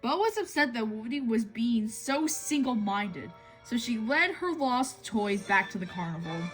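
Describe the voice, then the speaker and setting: A woman's voice: a little high, lively intonation, a little slow, a bit bright, rough recording. A woman reading a children's story aloud, now and then tripping over a phrase and reading it again. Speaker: storybook reader. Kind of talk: scripted read-aloud narration of a children's story. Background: music.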